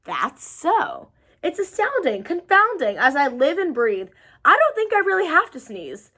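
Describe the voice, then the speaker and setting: A woman acts out a big storybook elephant who is apologetic and easily spooked. The voice is high and swoops dramatically, full of exclamations. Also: sing-song, measured pace, pushed and bright, full character voice. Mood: amazed.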